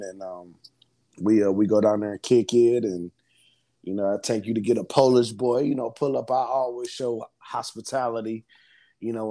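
A man from hosts a podcast, his voice gravelly with fry. He talks slangy and laid-back and often restarts his sentences as he goes. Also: on the low side, warm tone. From Cleveland, Ohio. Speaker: podcast host. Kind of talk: casual interview chat.

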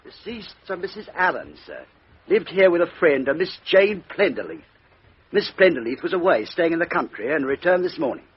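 An actor with an English accent playing a dutiful police constable giving a formal report in a 1950s radio drama. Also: male voice, fairly high pitch, very animated, moderate pace, breathy edge, full character voice. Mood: matter-of-fact. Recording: muffled old radio recording.

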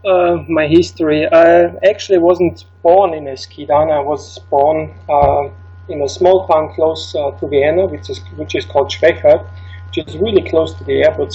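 A man's voice: on the high side, warm tone, husky, highs cut off. A middle-aged man with an Austrian accent, German as his first language, telling his life story as an interview guest, with many fillers and restarts. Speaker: ski instructor.

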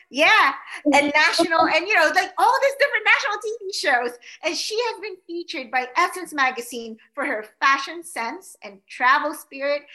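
A woman's voice: high-pitched, sharp tone. A woman from the Philippines hosting a casual interview, chatty and bubbly with lots of fillers. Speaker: podcast host.

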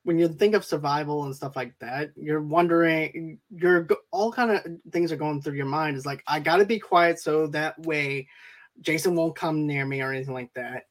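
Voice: mid-range voice, normal speed. A co-host talking steadily and explaining points in a casual chat, often adding "and everything".

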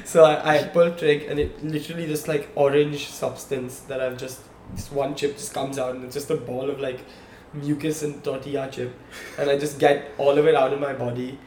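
Young man telling a lively story. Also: medium pitch, moderate pace, hardly any pauses. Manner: animated storyteller, checks in with "okay? right?". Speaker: podcast co-host. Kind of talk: casual storytelling chat. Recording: echoey room, faint background hum.

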